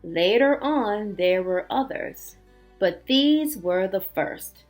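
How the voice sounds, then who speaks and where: A woman teacher reading aloud and explaining words to young children in an expressive way, with simple asides and check-in questions. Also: medium pitch, takes it slowly, soft background music. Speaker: elementary school teacher. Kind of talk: read-aloud storytelling and word lesson for young children.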